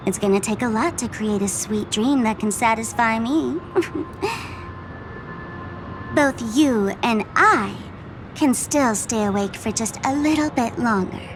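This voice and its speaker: A woman's voice: fairly high pitch, animated, slow pace, long pauses, noisy background. An actress playing a teasing, gleeful female trickster in a video game, theatrical and mocking, with playful menace. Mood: playful, smug. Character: gleeful, teasing female trickster fool threatening to blow up a planet. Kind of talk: video game character acting. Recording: music behind the voice.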